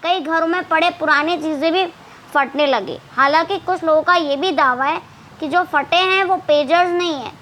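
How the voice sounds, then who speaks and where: A woman's voice: high voice, moderate pace, fairly bright tone. A woman presenting scripted news commentary with conversational asides, in a sarcastic, mocking and dramatic storytelling manner. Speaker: presenter of an explainer video.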